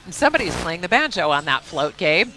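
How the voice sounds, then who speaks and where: Parade co-host reading off float info, bright crisp voice, some music in the back. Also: medium pitch, a little fast, poor audio. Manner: reads sponsor blurbs, friendly and steady. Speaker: parade co-commentator. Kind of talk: live parade commentary.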